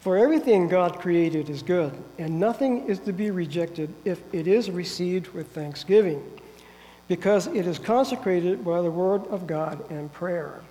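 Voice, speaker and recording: A man's voice: sits high, measured pace, mellow. Nasal, congested man preaching. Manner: careful, earnest delivery with frequent throat clearing. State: stuffy nose from a head cold. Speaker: guest preacher. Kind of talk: sermon with Bible reading. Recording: long hall reverb.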